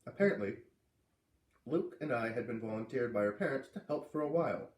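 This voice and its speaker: A man reading a children's book aloud, expressively, with dramatic pauses on key words. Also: mid-range voice, expressive. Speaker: read-aloud reader. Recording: echoey room, distant microphone.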